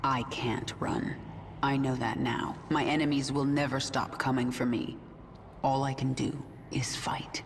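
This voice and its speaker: A woman's voice: rumbling low, level tone, a little slow, slight background noise. A woman voicing a grim, vengeful queen of an alien swarm in a video game, brooding, hard and commanding. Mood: grim resolve. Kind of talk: game cinematic voice acting.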